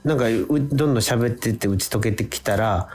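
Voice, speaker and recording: A man's voice: medium pitch, quick pace, darkish tone. A man with a Kansai accent speaks as a guest actor in a casual radio chat, polite but colloquial and appreciative of his colleagues. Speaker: guest stage actor talking about castmates. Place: radio studio. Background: background music.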